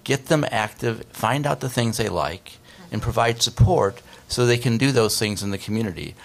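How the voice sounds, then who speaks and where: A man's voice: mid-range voice, moderate pace, creaky. A man in his fifties, a researcher, explaining earnestly on a discussion panel at a desk microphone in a conference room. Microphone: desk microphone on stand.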